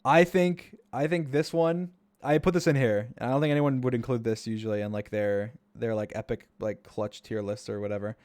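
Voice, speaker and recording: A man's voice: medium pitch, fairly even intonation, normal speed, few pauses, darkish tone. A man casually commenting on his own gameplay clips during a stream, rambling and repeating himself with enthusiasm.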